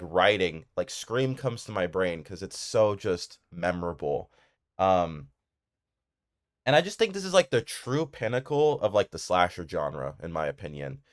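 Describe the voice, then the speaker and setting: Male film commentator, low clean voice, casual and enthusiastic, loose rambling delivery. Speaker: video creator reviewing horror films.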